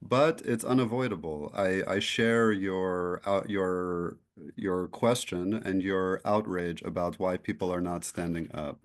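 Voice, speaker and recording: A man answering as a guest, thoughtful and soft-spoken, searching for his words as he reflects. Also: low voice, a little slow. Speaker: guest speaker. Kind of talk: reflective discussion.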